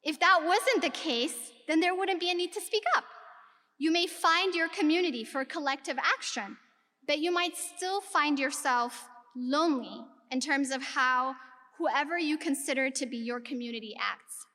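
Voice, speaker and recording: A woman's voice: high voice, moderate pace, sharp tone. A woman from Ethiopia giving an earnest keynote speech to a live audience, posing rhetorical questions to the audience. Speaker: keynote speaker. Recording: long reverb of a large hall.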